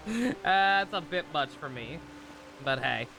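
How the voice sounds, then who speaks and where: A man's voice: fairly high pitch, animated, a little slow, vocal fry, bright, forceful tone, slight background noise, poor audio. A man streaming a game and giving casual live reaction commentary. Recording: game music behind the voice.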